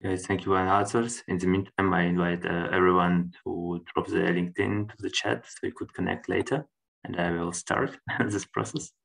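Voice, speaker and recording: Guy moderating a call, super low flat voice, gravelly. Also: low-quality recording. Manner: halting, with fillers. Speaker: meeting moderator. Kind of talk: moderating an online meeting. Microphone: video call.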